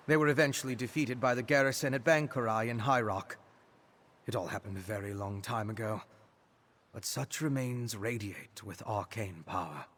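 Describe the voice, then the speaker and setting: Game role: curious male scholar of magic. Mid-pitched, slightly rough-edged voice, articulate and composed delivery. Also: fairly bright tone. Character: learned male scholar investigating arcane rituals, curious, composed. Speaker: game character. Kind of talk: video game voice acting, explanatory dialogue.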